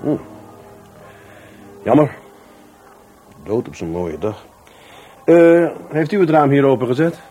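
Mellow, dry Dutch policeman. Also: medium pitch, very animated, slow, long pauses, soft background music. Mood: wry, probing. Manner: dry, offhand, musing. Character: blunt, wry, unhurried male police investigator. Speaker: actor in a radio drama. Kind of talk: radio drama dialogue. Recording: faint ambient noise behind.

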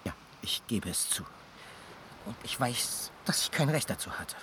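An actor playing an earnest, jealous young suitor who speaks formally and urgently in a radio play. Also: male voice, medium pitch, animated, a little slow, a bit bright, a little hiss or hum. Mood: pleading.

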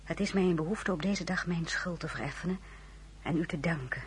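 A woman playing a spirited young mother and marshal's wife in a period radio drama, expressive and often dropping to a whisper. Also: lowish voice, fairly quick, slight background noise, close to an everyday voice. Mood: astonished.

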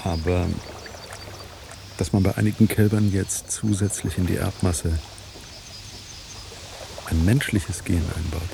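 Deep, gravelly, frustrated journalist. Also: male voice, steady tone, measured pace, long pauses, background noise. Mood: troubled. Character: frustrated, wryly bitter investigative journalist. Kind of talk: radio play acting.

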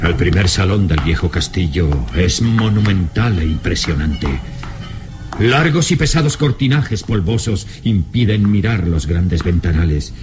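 A man narrating a radio drama from a script in a solemn, theatrical manner. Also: low voice.

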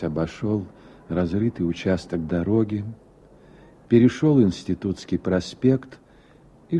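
Very dark, low-voiced male narrator. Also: husky. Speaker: narrator of a radio play. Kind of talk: scripted literary narration. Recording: dull old radio recording, faint music. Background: faint music.

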